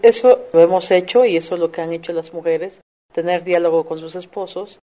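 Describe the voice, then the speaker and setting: A woman's voice: on the low side, steady tone, fairly quick, mellow. A middle-aged woman from Mexico, earnestly recounting her community work in a documentary interview with everyday fillers. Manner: plain, earnest storytelling with fillers. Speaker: community volunteer coordinator.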